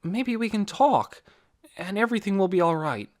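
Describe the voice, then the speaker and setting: A man's voice: high-pitched, animated, moderate pace, pitched above the performer's own voice. A man playing an anxious, hopeful teenage boy. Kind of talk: audiobook character voice.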